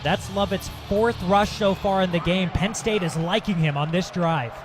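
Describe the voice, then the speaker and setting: A man's voice: high-pitched, moderate pace, music in the background. An American man working as a color commentator, giving conversational, opinionated football analysis between plays.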